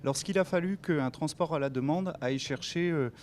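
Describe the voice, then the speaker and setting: A man who is an elected official, giving explanatory interview answers in a halting way, with tag words and repeats. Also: a little high, a little mellow, slight background noise. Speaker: elected official, president of a local authority. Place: TV set at a convention.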